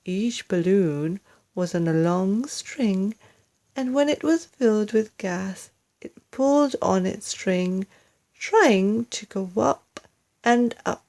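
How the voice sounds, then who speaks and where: A woman narrating a children's story in a deliberate, expressive storytelling manner. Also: medium pitch. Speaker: storybook reader, English teacher.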